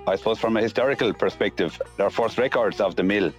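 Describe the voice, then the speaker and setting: A man's voice: lowish voice, fast, soft background music. An Irish-accented man from County Kilkenny, recounting local history as a guest in a spoken interview. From County Kilkenny, Ireland. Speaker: interviewee, mill restorer.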